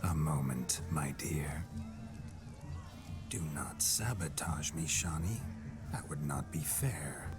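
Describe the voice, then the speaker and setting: Casting: a slow, low-voiced ghost-possessed seducer, wry and smug, with game music behind. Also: male voice, animated, full character voice. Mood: flirting. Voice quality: deep and low, often near a whisper. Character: man possessed by a noble ghost, gruff, flirtatious, smug. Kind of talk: video game cutscene voice acting.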